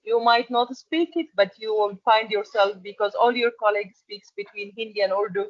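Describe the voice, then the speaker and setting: A woman whose first language is Arabic, an interview guest telling her career story in an earnest, halting way full of restarts. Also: mid-range voice, dry recording, lo-fi sound. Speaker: interview guest, export manager. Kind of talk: conversational interview.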